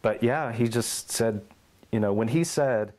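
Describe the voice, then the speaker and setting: A middle-aged man in an oral history interview at his home, telling lively stories and acting out quotes. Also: medium pitch, a little slow. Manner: animated storyteller, acts out quotes and meows.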